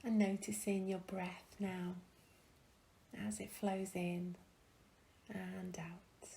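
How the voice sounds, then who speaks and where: A woman guiding a meditation in a gentle, soothing, hushed and breathy voice, leaving long pauses between phrases. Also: medium pitch, level tone. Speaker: meditation guide. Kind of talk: guided meditation, soothing and slow.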